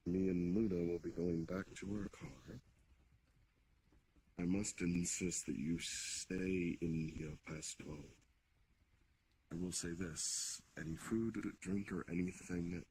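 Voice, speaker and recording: Over noisy voice-chat audio, a man acts out a stately Demon King with a low voice. He is courteous but commanding, choosing his words with halting formality as in improvised online roleplay. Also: creaky, a little mellow, exaggerated voice. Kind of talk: improvised virtual-reality roleplay.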